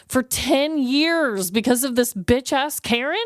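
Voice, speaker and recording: A woman's voice: sits high, lively intonation, a little slow, no echo, studio quality. A woman co-hosting a podcast in casual, jokey, informal conversation, telling stories for comedy. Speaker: podcast co-host. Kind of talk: casual comedic storytelling chat.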